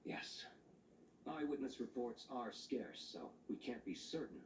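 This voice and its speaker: An actor playing a composed, shrewd gentleman monster hunter in a video game, with cool, formal, probing delivery. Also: mid-range voice, animated, unhurried, natural voice. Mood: matter-of-fact. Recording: roomy distant game audio with noise.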